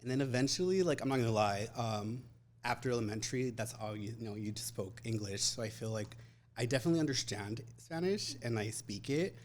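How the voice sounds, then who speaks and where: A man's voice: mid-range voice, brisk, a little hiss or hum. A young man talking as an interview guest, casual and chatty with plenty of fillers and easy laughter. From Mexican-American family, grew up in US. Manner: laid-back, chatty, lots of fillers and laughs. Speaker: guest, content creator and photographer.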